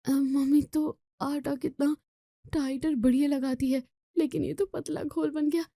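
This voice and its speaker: A woman's voice: high voice, little pitch movement, measured pace, dark tone, no echo, clean studio sound, voice pushed up. A woman voicing a hapless young daughter, unsure and complaining over housework, in a storybook tale. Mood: dismayed.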